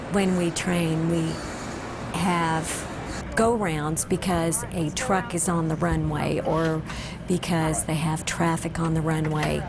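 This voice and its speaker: A woman's voice: sits low, background noise. A woman, an airline pilot, explaining things in an interview. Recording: music behind the voice. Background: music.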